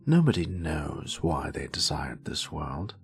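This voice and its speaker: A man with a British accent voicing a sassy, grumpy, learned wizard who narrates fantasy lore in a theatrical, deliberate way with breathy drops and wry condescension. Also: extremely low pitch, sing-song, faint music behind. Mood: wry, musing. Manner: wry, condescending scholarly asides. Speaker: voice actor.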